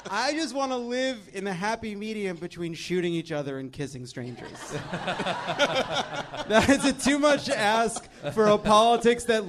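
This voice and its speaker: Wry American male host, slightly high voice. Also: a little hiss or hum. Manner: wry, exasperated, swears casually. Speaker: host of a political comedy panel show. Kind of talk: comic banter and topical commentary.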